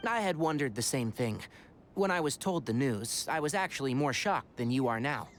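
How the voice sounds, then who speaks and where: A man's voice: medium pitch, animated, normal speed, a little hiss or hum, natural voice. An actor playing a composed, sensible young male scholar in a video game, articulate and wry as he explains things. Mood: composed, explanatory.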